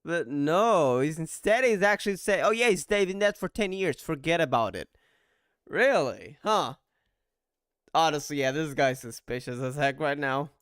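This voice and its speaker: A man's voice: a little high, animated, normal speed, a bit bright, smooth, no echo, studio quality. A man giving casual reaction commentary as a gaming content creator.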